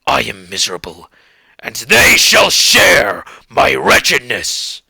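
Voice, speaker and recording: Gravelly, anguished monster. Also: male voice, mid-range voice, very animated, pushed and bright, dry recording, exaggerated voice. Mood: bitter, impassioned. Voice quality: gravelly, rasping. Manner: slow, archaic, theatrical delivery. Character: anguished male monster pleading with his creator, bitter and eloquent. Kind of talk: dramatic literary monologue.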